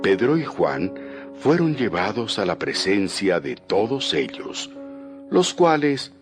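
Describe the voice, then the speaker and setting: Deep, slow male Bible narrator. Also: very animated. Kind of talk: scripted dramatized Bible narration. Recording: music bed behind the voice.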